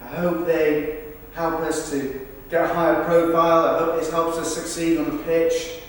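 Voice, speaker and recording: A man's voice: a little high, little pitch movement, normal speed, lightly acted. A man performing verbatim theatre monologues, earnestly telling people's accounts in a rehearsal. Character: people recounting a football club's celebrity visit. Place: large reverberant rehearsal room. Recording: echoey hall, distant mic, faint noise.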